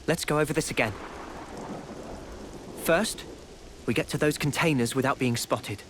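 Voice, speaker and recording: A man voicing a calm, serious young squad leader in a game cutscene, speaking in a measured, earnest way. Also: mid-range voice, a little hiss or hum, lightly acted. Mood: focused. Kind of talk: video game cutscene acting.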